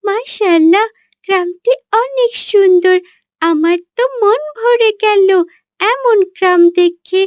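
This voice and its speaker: A woman's voice: very high-pitched, takes it slowly, a little mellow, no echo, studio quality. A woman voicing a polite, earnest young girl in a cartoon, with a questioning manner. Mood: cheerful, admiring. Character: polite, earnest young city girl, hurt but friendly. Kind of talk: cartoon character dialogue.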